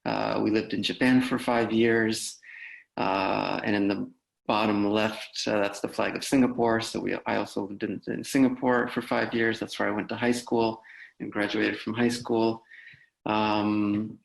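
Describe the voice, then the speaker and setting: A man's voice: fairly low pitch, measured pace, vocal fry, rough recording. A man in his thirties from Poland, Polish his first language, giving a loose, filler-heavy career talk on a video call. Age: 30s. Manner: relaxed, loose, with many fillers and restarts. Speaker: guest speaker, data analyst.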